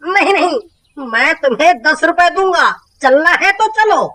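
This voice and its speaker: A curt cartoon man haggling as a passenger, voiced by a woman, high and sharp. The delivery is slow and bossy. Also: animated, heavily acted. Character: haggling, brusque male rickshaw passenger.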